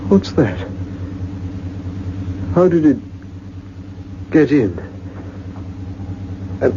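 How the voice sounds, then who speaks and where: A man's voice: fairly high pitch, exaggerated voice. An actor playing a young, practical male technician in a sci-fi radio play. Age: young adult. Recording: dull radio recording with background noise.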